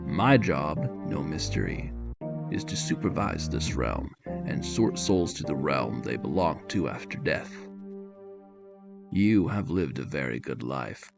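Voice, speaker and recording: Man acting out a cold, very deep python overlord. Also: vocal fry, heavily acted. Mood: cold, stern. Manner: cold, measured, condescending. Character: large muscular male python ruler of the dead, imperious and cruel. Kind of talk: fantasy audio drama acting.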